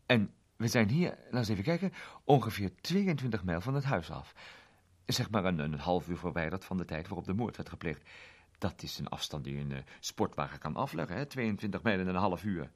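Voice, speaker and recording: A man voicing a blunt, gruff male police inspector who talks with many hesitations. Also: deep voice, animated, brisk. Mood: musing.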